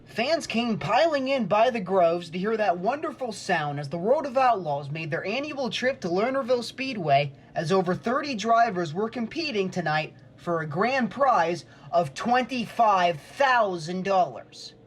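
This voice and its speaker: Male sportscaster over clear background noise. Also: high-pitched, animated, measured pace, hardly any pauses. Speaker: sportscaster and reporter. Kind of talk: play-by-play commentary and scripted sports reporting.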